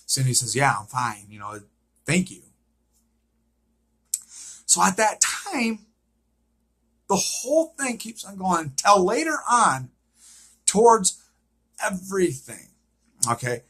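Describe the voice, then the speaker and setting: A man with a fairly high, tense voice retells a TV episode off the cuff. He goes slowly and has a rough, husky edge. He circles back over his points and often checks in with a short questioning okay. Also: very animated, fairly bright tone. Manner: repetitive, checks in with frequent "okay?". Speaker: vlogger recapping a TV series. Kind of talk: unscripted episode recap, casual retelling.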